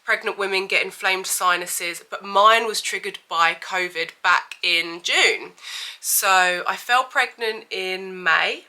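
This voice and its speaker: A woman's voice: medium pitch, slow pace, bright, forceful tone. A woman in her thirties with a cold and a blocked-up, sniffly nose, chatting candidly to camera as a vlogger. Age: 30s. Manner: chatty and candid, with frequent fillers. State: has a cold. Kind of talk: casual chat to camera.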